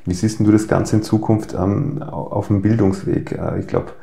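A man, interviewing a guest in a relaxed, casual way. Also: on the low side, normal speed, creaky. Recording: echoey room.

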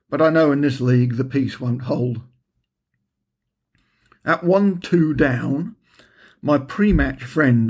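A man's voice: mid-range voice, darkish tone, husky. A man from England reading his own first-person story as scripted narration, with a wry, dryly humorous delivery. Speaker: author reading own audiobook chapter.

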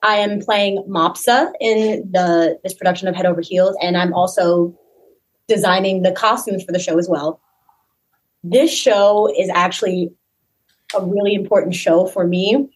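A woman's voice: lowish voice, steady tone, measured pace, husky, rough recording. A young woman who acts and designs costumes in a community musical, introducing herself in a bubbly, giggly way. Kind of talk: interview guest.

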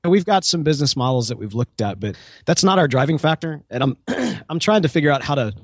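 A man's voice: on the high side, lively intonation, fast, breathy edge, no room sound, clean studio sound. Casual man chatting as an interview guest. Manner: informal, talks in hypothetical quoted dialogue. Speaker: podcast app co-founder, interview guest.

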